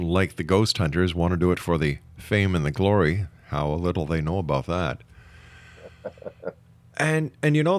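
A man's voice: low-pitched, fairly bright tone, dry recording. A man hosting an interview show, talking conversationally with his guest. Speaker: radio show host.

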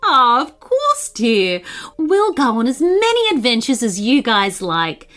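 Gushing, affectionate aunt acted by a woman narrator for a children's storybook, high and swooping. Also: huge pitch swings, measured pace, a bit bright, soft background music, full character voice. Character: warm, affectionate middle-aged aunt welcoming children.

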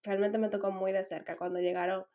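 A Dominican woman in her twenties, a podcast guest, telling her story in a relaxed way with light laughs. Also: medium pitch, a little fast, dull audio. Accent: Dominican Spanish. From Dominican Republic. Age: 20s. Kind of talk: casual podcast chat.

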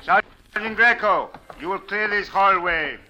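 An impatient police captain in a vintage radio mystery. The voice is fairly high and very sharp, giving formal orders over faint recording noise. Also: male voice, a little slow, full character voice, low-quality recording. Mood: commanding, official. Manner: formal, commanding, no contractions. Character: brusque, impatient male police captain in Cairo. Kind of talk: 1940s radio acting.

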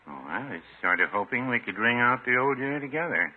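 Husky, deadpan older druggist. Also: male voice, mid-range voice. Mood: mild, droll. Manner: deadpan, unhurried. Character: dry, mild older druggist, long-married man. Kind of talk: 1950s radio sitcom acting. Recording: tinny 1950s radio recording.

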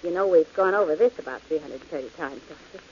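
An actress playing a skeptical, dry-witted companion in an old radio drama. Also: female voice, low-pitched, quick pace, darkish tone, close to an everyday voice. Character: skeptical wry woman, detective's companion. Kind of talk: 1940s radio acting. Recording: dull old radio broadcast with hiss.

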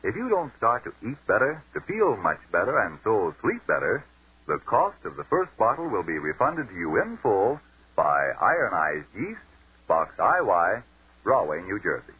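A man's voice: lowish voice, wildly swooping pitch, normal speed, breathy edge, narrow, tinny audio. A man announcing and reading ads on a 1940s radio broadcast in an emphatic sales cadence.

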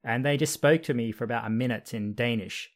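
A man whose Australian accent has been worn down by years abroad hosts a relaxed interview over a video call. He talks in a measured way, trading stories and asking easy follow-up questions. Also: mid-range voice. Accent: mixed Australian. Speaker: podcast host.

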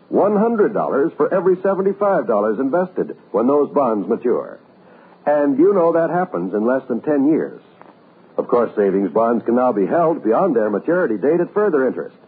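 A man's voice: mid-range voice, husky. A man announcing a vintage radio program in a scripted 1950s broadcast style. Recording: muffled tinny old radio recording.

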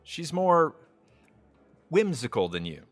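An evasive young man on a blind date in an audio comedy. He is hesitant and apologetic, stumbling over his words. His pitch moves around in a lively, animated way. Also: slow, faint music behind. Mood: awkward. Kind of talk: audio drama acting, romantic comedy dialogue.

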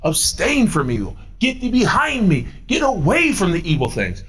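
A man in his forties, a pastor preaching a sermon, animated and answering imagined questions, moving up and down as he talks. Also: moderate pace. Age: 40s. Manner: animated, swooping pitch, paces while preaching.

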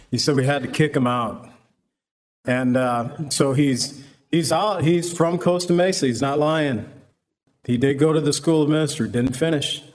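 A male pastor walks through scripture verse by verse. His voice sits at a middling pitch, and he preaches conversationally, slipping into quoted voices for the people in his story. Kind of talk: verse-by-verse Bible teaching.

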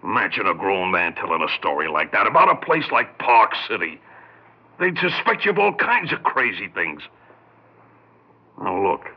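An actor playing a gruff but soft-hearted working cop in a 1960s radio drama, with an American accent and a plain-spoken, hesitant manner. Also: male voice, low voice, big pitch swings, normal speed, heavily acted. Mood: gentle, hesitant. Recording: muffled old 1960s radio recording.

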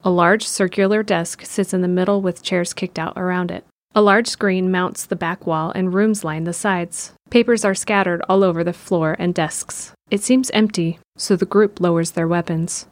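Woman narrator, lower register, for scripted audio drama storytelling. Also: steady tone, moderate pace, hardly any pauses, no echo.

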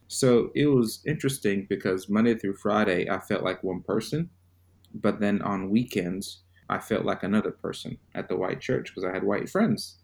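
Podcast guest from Zimbabwe: low-pitched man, unhurried, reflective storyteller. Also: darkish tone. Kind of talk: personal storytelling.

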